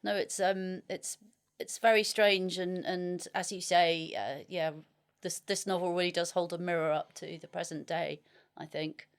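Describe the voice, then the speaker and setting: A woman's voice: on the low side, fairly even intonation, a little slow, smooth. A woman hosting a literary podcast, talking conversationally and putting questions to a guest. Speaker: podcast host, interviewer.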